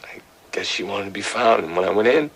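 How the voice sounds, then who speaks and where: Man acting as embittered, low-voiced young American fiance. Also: a bit bright, husky, low-quality recording. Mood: bitter, anguished. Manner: halting, defensive. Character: embittered 29-year-old jilted fiance under police questioning.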